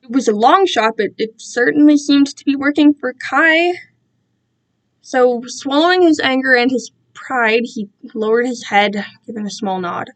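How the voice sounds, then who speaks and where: A woman giving an expressive, amateur dramatic reading of a story. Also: high-pitched, measured pace, poor audio. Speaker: amateur fan fiction reader.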